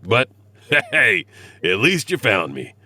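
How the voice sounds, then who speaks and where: A man's voice: mid-range voice, wildly swooping pitch, slow, bright, forceful tone, exaggerated voice. A man playing a folksy, grumbling, joking janitor who hosts a horror anthology in a theatrical monologue. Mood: jovial. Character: chatty grumbling janitor peeling potatoes, spooky story host.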